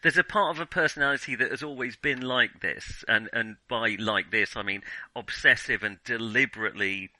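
Male film podcast host. Very forceful, bright tone, dry sound, hesitant conversational reviewing with frequent rephrasing. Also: mid-range voice, no echo, rough recording. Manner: hesitant, halting, self-interrupting. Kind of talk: casual film review discussion.

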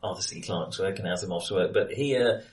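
A man's voice: deep voice, moderate pace. A man in a casual film discussion, speaking as an enthusiastic, opinionated co-host who often rephrases as he goes. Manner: hesitant, rephrases often, chuckles while talking. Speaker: podcast co-host reviewing films. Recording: roomy echo, distant microphone.

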